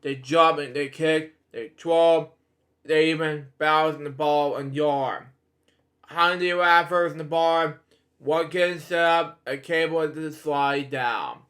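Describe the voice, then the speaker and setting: A man with a fairly high voice reads a children's story aloud at a slow pace. He stumbles and halts over phrases, drifting into casual, rambling asides as he hosts. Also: fairly even intonation, fairly bright tone. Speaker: host reading bedtime stories.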